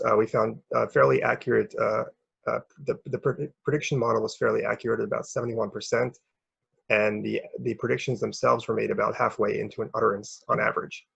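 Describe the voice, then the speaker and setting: A man presenting academic research as a researcher, explaining step by step in a hesitant, halting way with a gravelly, husky voice. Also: fairly low pitch, a bit bright, no room sound, highs cut off.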